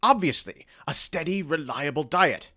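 A man's voice: fairly high pitch, lively intonation, normal speed, sharp tone. An actor playing a haughty, impatient fairy king with an exasperated, commanding manner in an audio drama.

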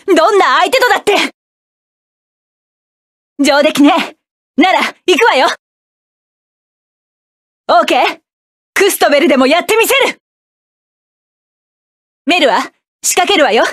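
High, dry game voice: fierce proud young woman pilot, commanding battle shouts. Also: no room sound. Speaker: mecha pilot character. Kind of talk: video game battle voice lines.

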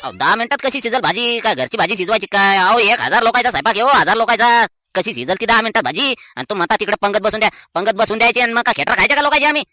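A man's voice: sits high, animated, very fast, few pauses, bright, forceful tone, no room sound, exaggerated voice. A man playing a touchy, quarrelsome uncle in a cartoon comedy, complaining indignantly with a rural Maharashtrian accent. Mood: angry, scolding.

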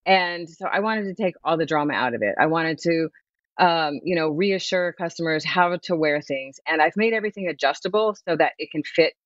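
A middle-aged woman, a swimwear brand founder, chatting lively and laughing easily as a guest over a remote video call. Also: low voice, fairly quick, no room sound. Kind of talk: promotional interview chat. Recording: dull, dry video call audio.

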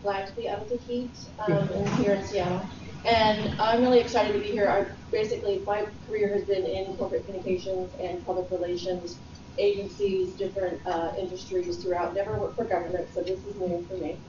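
A woman's voice: medium pitch, flat delivery, fairly quick, noisy background. A woman from Houston introducing herself at a work meeting in an eager, friendly way, recorded from across a room. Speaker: new executive team member. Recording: far-off roomy lo-fi meeting recording.

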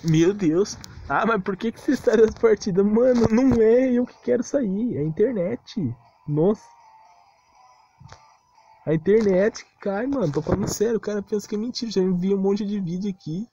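A man's voice: sits high, moderate pace, mellow, dull audio, slight background noise. A Brazilian man ranting casually and unscripted as a gaming video creator, defensive and exasperated, on a close, cheap-sounding mic. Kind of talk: casual unscripted rant over game footage.

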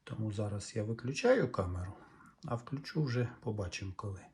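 A middle-aged man with a Ukrainian accent talks through a repair at his workbench. His voice is mellow and dark, and he speaks calmly, thinking aloud with small hesitations. The sound comes from the camera's own microphone. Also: lowish voice, fairly quick. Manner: calm, explanatory, musing aloud with hesitations. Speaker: repair vlogger. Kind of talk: hands-on repair walkthrough.

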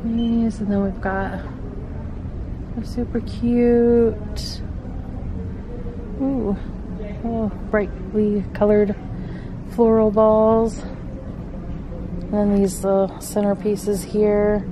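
A woman's voice: medium pitch, flat delivery, very slow, long pauses, noisy background. A middle-aged woman filming a shop-with-me vlog in a home decor store, chatting about prices and cute items on the shelves while she holds the camera. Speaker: vlogger. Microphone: handheld camera. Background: background music.

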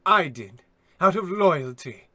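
A man acting a meek, apologetic, loyal employee with a halting, deferential manner in a radio comedy. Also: high-pitched, lively intonation, pushed and bright, no room sound, exaggerated voice.

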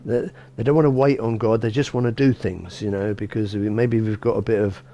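A middle-aged man, a bishop, gives a relaxed sermon in a small church, talking conversationally with frequent restarts and "you know". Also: on the low side, fairly quick, dull audio. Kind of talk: informal sermon.

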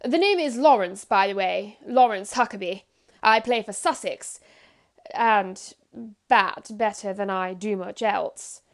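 Woman playing a breezy 1930s gentleman cricketer. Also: mid-range voice, measured pace, natural voice. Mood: breezy, chummy. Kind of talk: 1930s radio drama acting.

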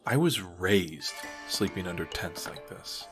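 A man's voice: sits low, animated, measured pace. A man playing a world-weary American hero, narrating a comic caper in a dry, sardonic, terse way. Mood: brooding. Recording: music behind the voice.

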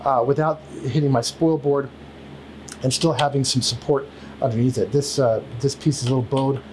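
A man's voice: mid-range voice, fairly even intonation, normal speed, noisy background. A man in his thirties hosting a casual woodworking vlog in his home shop, talking with many fillers and restarts, recorded by a camera a few feet away. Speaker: woodworking channel host.

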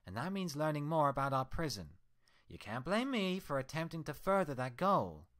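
A man's voice: on the high side, big pitch swings, normal speed, fairly bright tone, pitched above the performer's own voice. A man doing a sneering mirror-twin unicorn mare. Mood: cold dismissive. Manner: sarcastic, mocking.

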